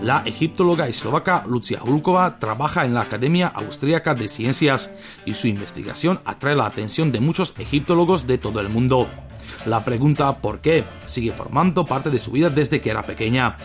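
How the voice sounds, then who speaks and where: A male radio host reading from a script in a formal, measured delivery. His voice sits at an ordinary middle pitch, and soft music plays faintly underneath. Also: expressive.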